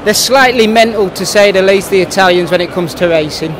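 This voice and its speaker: High-voiced man interviewing, noisy background. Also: brisk. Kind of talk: casual interview chat. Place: indoor trade show. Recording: noisy trade show hall, background ambience.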